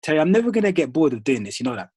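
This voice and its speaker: Man, team leader on a call, slightly high voice, casual and pumped, dry sound. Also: very animated, rapid-fire, darkish tone, slightly rough, dry recording. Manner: casual, calls people bro and dude. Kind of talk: motivational conference call.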